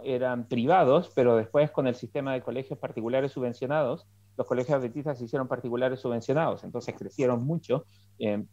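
A man's voice: mid-range voice, dark tone, no echo. Middle-aged man, reflective guest; dull, dry call audio. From Chile. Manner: reflective storytelling with many fillers and restarts. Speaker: political scientist guest. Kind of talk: interview over video call. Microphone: video call headset.

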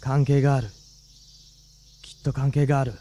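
An actor playing a level-headed, reassuring teenage schoolboy hero in a radio drama. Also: male voice, mid-range voice, flat delivery, moderate pace, warm tone, slight background noise, close to an everyday voice. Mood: pensive.

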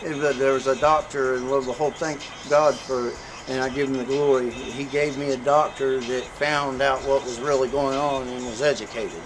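A man's voice: medium pitch, steady tone, normal speed, hardly any pauses, background noise. A man in a casual street interview who tells his story in an earnest, preaching way, filling his talk with "you know.".

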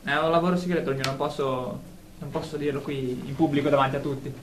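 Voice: medium pitch, fairly even intonation, fast, slight background noise, close to an everyday voice. An actor playing a sixteen-year-old delusional boy in a radio drama, earnest, hesitant and defensively stubborn. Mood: guarded, earnest. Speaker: therapy patient character.

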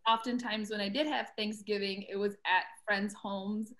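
A woman from Somalia and Kenya answers a panel question in a reflective, unhurried way from her home. Her pitch stays level and even with little rise and fall, sitting in the middle range. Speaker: cookbook author. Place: home room at night. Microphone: video call.

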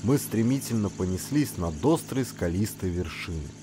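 A man reading a first-person literary story aloud as an audiobook narrator, in an expressive storytelling manner. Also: low-pitched, normal speed, noisy background.

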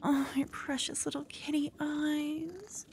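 Girl doing a jealous witch gf asmr thing, slow n breathy, half whispered, dramatic, some noise behind it. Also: sits high, fairly even intonation, darkish tone. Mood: calming, frustrated. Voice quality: breathy, often dropping to a whisper. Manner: dramatic, swinging from cooing to venting. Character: jealous young witch girlfriend, doting yet vengeful. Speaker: ASMR roleplay performer. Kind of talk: ASMR roleplay, intimate and breathy. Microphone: close ASMR microphone.

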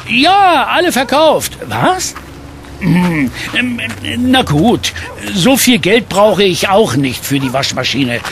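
An actor playing a good-natured, jovial middle-aged uncle who sells junk in a radio play. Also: male voice, high voice, sing-song, takes it slowly, a bit bright, slight background noise, exaggerated voice. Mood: flustered.

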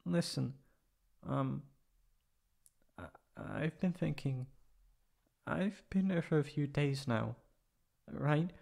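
A man playing a prickly young catboy in an ASMR roleplay, haughty but secretly soft-hearted and stammering when flustered. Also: a little high, gravelly.

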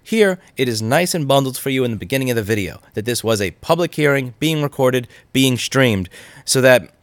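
A man's voice: medium pitch, animated, fairly quick, dry recording. A man in his thirties giving an indignant, argumentative commentary straight to camera, pushing his points with emphatic rising stresses. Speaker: repair shop owner and channel host. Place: indoor room with brick wall and couch. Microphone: studio mic on boom arm in front.

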